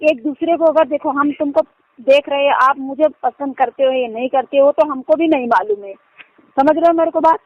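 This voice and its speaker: A woman's voice: high-pitched, a little fast, no room sound. A woman talking casually and insistently on a private phone call, often checking that the listener understands her. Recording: muffled lo-fi phone call recording.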